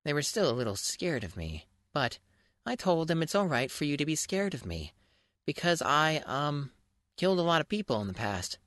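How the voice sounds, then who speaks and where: A man's voice: on the high side, very animated, moderate pace, a bit bright, no echo, clean studio sound. A man reading a scripted first-person story aloud as a dramatic, mock-grand audiobook narrator. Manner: theatrical, mock-grand delivery. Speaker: fan fiction audiobook narrator.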